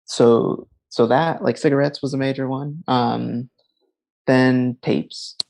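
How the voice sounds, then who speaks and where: A man's voice: mid-range voice, steady tone, slow pace, vocal fry, a little mellow, slight background noise. A man in his thirties co-hosting a podcast, talking in a loose, rambling way with lots of "like" and restarts. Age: 30s. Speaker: podcast co-host. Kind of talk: casual chat.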